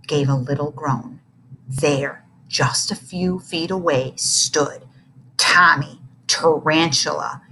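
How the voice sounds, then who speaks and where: A woman narrating a children's book aloud with expressive storytelling. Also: low-pitched, a little hiss or hum, rough recording.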